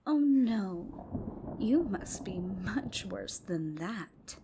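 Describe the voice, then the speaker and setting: A woman's voice: mid-range voice, expressive, extremely slow, background noise. A woman voicing a gleefully wicked young girl who teases and coaxes, in a whispery ASMR folk tale. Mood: mocking. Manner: teasing, laughing, coaxing.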